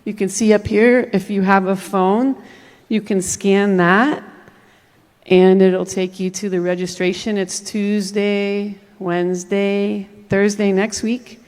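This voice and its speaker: A woman makes event announcements to a crowd, hesitating now and then with fillers. Her voice is smooth and clear. A long reverb from a large hall trails her words. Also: mid-range voice, flat delivery, takes it slowly, darkish tone. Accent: foreign to Jamaica. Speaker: event organizer making announcements. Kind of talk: public announcement.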